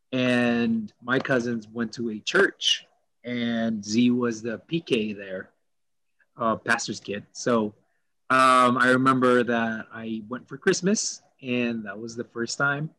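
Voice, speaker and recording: A man's voice: medium pitch, fairly even intonation, takes it slowly, sharp tone, no echo, low-quality recording. A man from El Salvador, a guest on a church podcast, telling his story in casual chat with fillers and restarts. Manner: thoughtful, hesitant with fillers and restarts.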